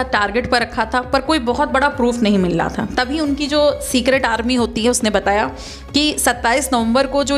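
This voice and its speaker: A woman's voice: fairly high pitch, a bit bright. A woman presenting a news explainer as scripted narration. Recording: music behind the voice.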